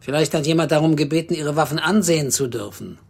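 Tense clerk, radio play dialogue, insistent, earnest questioning. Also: male voice, fairly high pitch, quick pace, creaky, breathy edge. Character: tense, insistent male clerk seeking answers.

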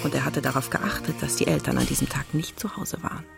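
A woman narrating a children's radio drama in a wry, expressive storytelling style. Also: a bass voice, quick pace, creaky, heavily acted. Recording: music behind the narration.

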